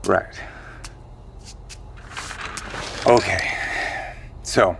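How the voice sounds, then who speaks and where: A tired survivor guy, lowish voice, casual, kinda evasive, audio drama convo. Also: drawn-out words, gravelly, noisy background, full character voice. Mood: relieved, amused. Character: weary, guarded male survivor with secret knowledge. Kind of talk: audio drama acting, conversational.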